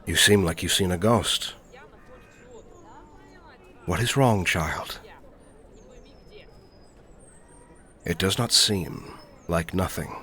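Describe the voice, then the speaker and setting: Druid master played by a man: deep, unhurried, fatherly mentor with measured, solemn delivery for fantasy audio roleplay. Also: bright, forceful tone, heavily acted. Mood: concerned, gentle.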